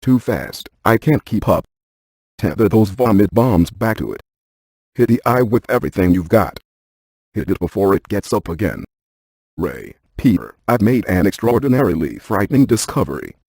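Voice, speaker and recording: A man playing a brainy, stiff ghost-hunting scientist in a video game, speaking in a precise, clinical deadpan. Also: fairly low pitch, normal speed, vocal fry, husky, dry recording, exaggerated voice. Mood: urgent, clipped.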